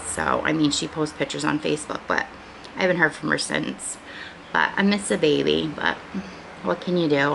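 A woman's voice: low-pitched, vocal fry. An American woman in her forties chatting casually to her viewers in a rambling, informal, conversational way. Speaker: vlogger. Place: small living room at home, indoors. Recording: noticeable background room noise.

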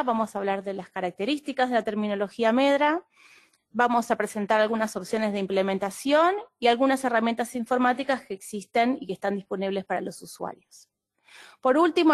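A woman with an Argentine Spanish accent, hosting and presenting an online training webinar in a friendly, explanatory way. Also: a little high. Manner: friendly, explanatory, with occasional fillers. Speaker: webinar host and clinical associate.

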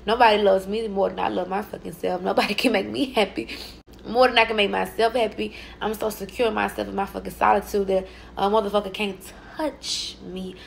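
A woman's voice: medium pitch, little pitch movement, fairly quick, vocal fry, a little hiss or hum. A woman vlogging to her phone at arm's length, talking in an emphatic, profane, self-assured way. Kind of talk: casual selfie vlog talk. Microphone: phone held at arm's length.

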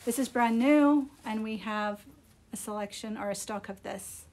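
A woman's voice: on the high side, a little slow, a little mellow. A middle-aged woman chats casually and unscripted as she presents products on a live shop stream. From Scotland. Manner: chatty, unscripted, appreciative. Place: small indoor room. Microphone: phone at arm's length.

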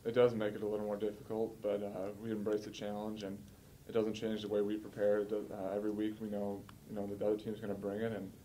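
A man in his early twenties, a college football player giving measured, matter-of-fact answers at a post-game press conference. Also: lowish voice, completely flat delivery, warm tone, slight background noise, poor audio. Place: indoor press room, seated at a table.

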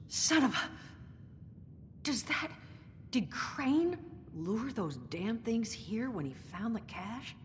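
An actress playing a world-weary, guarded bar owner in a video game, with a dry, worldly way of talking. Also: female voice, animated, takes it slowly, pauses often. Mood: shocked, upset. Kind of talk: video game dialogue acting. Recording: reverberant game audio with rumbling noise.

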